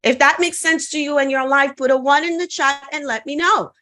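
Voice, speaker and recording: A woman's voice: sits high, fairly even intonation, brisk, bright, forceful tone. A woman from the Caribbean whose first language is French, giving an online conference talk in an upbeat coaching manner and often asking the audience to answer in the chat. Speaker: conference speaker.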